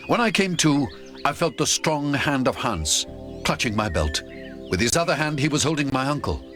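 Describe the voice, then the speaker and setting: A man narrating an adventure audiobook in the first person, theatrical and expressive. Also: mid-range voice, moderate pace, music in the background.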